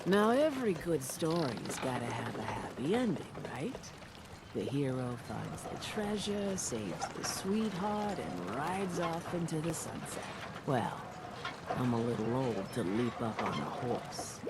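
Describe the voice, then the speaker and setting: An actress playing an old, weary island widow in a video game, telling things in a solemn, folksy, deliberate way with a sly streak. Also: female voice, extremely low pitch, big pitch swings, very noisy, heavily acted. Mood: wry, warm. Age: older. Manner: slow, deliberate, folksy storytelling. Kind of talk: dramatic video game dialogue. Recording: loud music over the voice.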